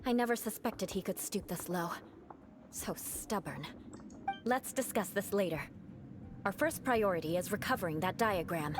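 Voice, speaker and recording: A woman's voice: mid-range voice, expressive, moderate pace, background noise, lightly acted. A young woman voicing a proud, aloof noblewoman knight in a video game, speaking in a cool, composed and dry manner.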